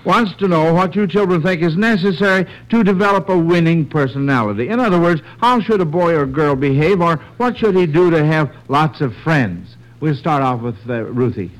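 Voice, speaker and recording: A man's voice: a little high, animated, normal speed, hardly any pauses, low-quality recording. An American man hosting a 1940s radio quiz for a panel of children, with a folksy, encouraging manner. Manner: encouraging, folksy, repeats and affirms answers.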